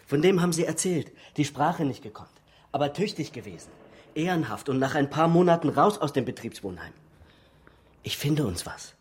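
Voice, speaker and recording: An actor playing a desperate, jobless man in a radio drama, with a husky, raspy voice. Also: on the high side, animated, normal speed. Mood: urgent, pleading. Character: desperate, jobless father pleading for help.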